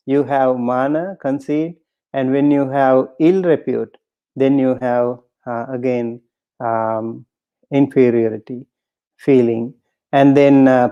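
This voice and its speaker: A man, a Buddhist monk, giving a dharma talk in a halting way with many pauses and fillers, reciting Pali terms and then explaining each one. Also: mid-range voice, clear, resonant tone. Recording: dull lo-fi recording.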